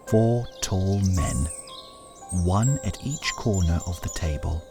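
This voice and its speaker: A man narrating a scripted folktale as a storyteller, in a measured, solemn manner with deliberate phrasing. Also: low-pitched, lively intonation, vocal fry. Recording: studio narration over music. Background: music.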